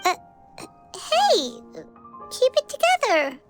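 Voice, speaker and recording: An actor voicing a small, childlike female fairy companion in a video game, chirpy, squeaky and exclamatory, with music underneath. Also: huge pitch swings, takes it slowly, exaggerated voice. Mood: urgent.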